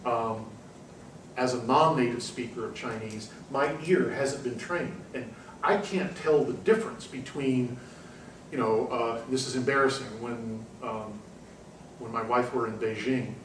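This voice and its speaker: A man's voice: mid-range voice, a little slow, distant mic, a little hiss or hum. Man lecturing in an echoey classroom. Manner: discursive, with frequent ums and restarts. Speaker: university lecturer. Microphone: far room recording.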